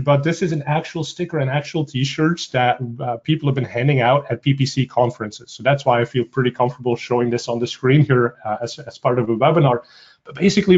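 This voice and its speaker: A man's voice: medium pitch, a little mellow. A man from Belgium with a Belgian Dutch accent, giving a casual webinar presentation full of uh and um fillers. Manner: conversational, frequent uh and um fillers. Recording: dull lo-fi webinar audio.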